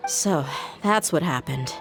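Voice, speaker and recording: A woman's voice: low-pitched, expressive, takes it slowly, over music, exaggerated voice. An actress playing a proud, self-reliant young woman mercenary in a video game, firm and direct in her speech. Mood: concerned. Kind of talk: scripted game dialogue.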